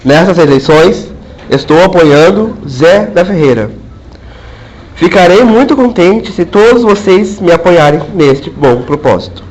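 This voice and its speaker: A man's voice: high-pitched, lively intonation, a little slow, a little mellow, voice pushed up, rough recording. A man voicing a domineering rural landowner colonel who speaks in commanding tones and makes cold veiled threats. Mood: persuasive. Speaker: colonel character.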